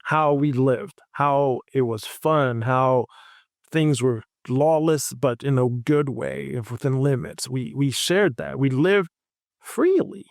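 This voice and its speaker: A man's voice: medium pitch, slow, creaky, no echo, studio quality. A man from Haiti on a roundtable panel, talking emphatically and repeating his points for stress. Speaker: physician panelist. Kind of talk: roundtable discussion.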